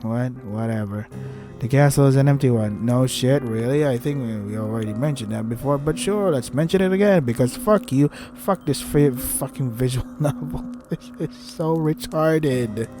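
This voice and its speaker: A man's voice: mid-range voice, moderate pace, music in the background. A man doing gaming commentary as he reads a visual novel aloud, breaking in with sarcastic, foul-mouthed asides. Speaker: let's play host reading a visual novel aloud.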